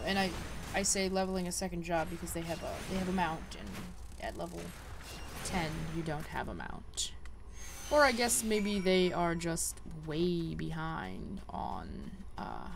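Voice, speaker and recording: A woman's voice: lowish voice, gravelly, a little hiss or hum. A woman giving casual let's-play commentary as a gaming host, rambling and easily sidetracked.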